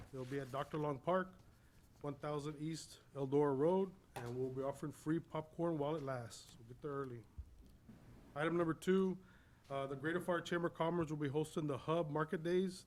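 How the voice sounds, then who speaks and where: A man's voice: on the high side, slight background noise. A man speaking as a city official, delivering a report at a public meeting. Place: city commission meeting room.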